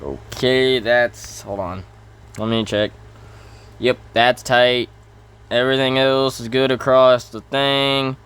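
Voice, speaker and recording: Halting, flat-toned man thinking aloud. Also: mid-range voice, smooth. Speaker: gaming video host. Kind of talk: casual gameplay commentary on a farming game.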